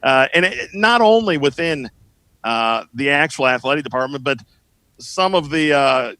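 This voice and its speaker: A middle-aged man, a sports broadcaster, reminiscing as a guest in a casual conversation, telling anecdotes in a halting, searching way. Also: on the high side, expressive, sharp tone, no echo.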